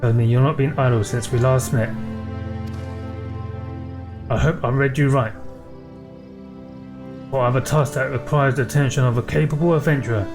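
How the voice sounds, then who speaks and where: A man's voice: medium pitch, fairly even intonation, moderate pace, pauses often, natural voice. Male reader as a seafaring farm boss handing out quests, old-fashioned wording, game music behind. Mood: welcoming. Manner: folksy, old-fashioned phrasing. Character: gruff, worried farm boss and former sailor. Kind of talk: reading quest dialogue aloud.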